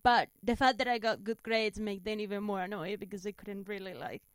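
A woman from Spain with a Spanish accent, chatting casually as a comedian guest, animated and self-deprecating. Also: medium pitch, a little fast, smooth, dry recording, studio quality. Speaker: stand-up comedian, interview guest. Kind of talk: casual chat, telling anecdotes.

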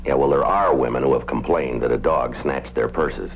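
An actor playing a dry, unflappable police sergeant in an old-time radio drama, speaking in a matter-of-fact, clipped way. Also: male voice, extremely low pitch, moderate pace, slight background noise. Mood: dry, patient. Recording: muffled old radio recording with music.

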